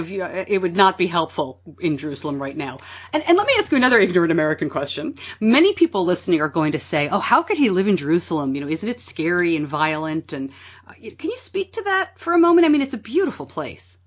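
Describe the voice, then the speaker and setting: A woman's voice: on the low side, a bit bright, dull audio. A woman hosting an interview, chatty and curious, and self-deprecating in her questions. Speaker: podcast host, interviewer. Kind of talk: conversational interview.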